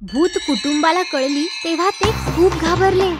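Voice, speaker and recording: A woman's voice: very high-pitched, moderate pace. A woman narrating a scripted horror story for children. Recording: loud music behind the voice. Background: loud music.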